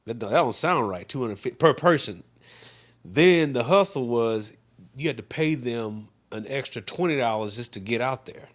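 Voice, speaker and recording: A man's voice: medium pitch, animated, moderate pace. A man co-hosting a casual livestream chat, telling a laid-back story with lots of fillers and restarts. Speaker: co-host.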